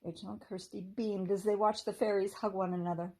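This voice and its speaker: A woman teacher reading a children's chapter book aloud in a gentle storytelling manner. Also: mid-range voice, little pitch movement, a little fast.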